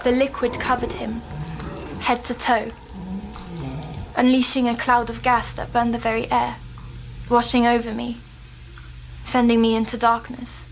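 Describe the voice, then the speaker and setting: A woman playing a timid young girl in an audio drama, recounting nightmares in a hesitant, halting way. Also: fairly high pitch, completely flat delivery, creaky, slight background noise, low-quality recording. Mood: tense, dramatic. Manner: hesitant, halting, breaking off mid-phrase.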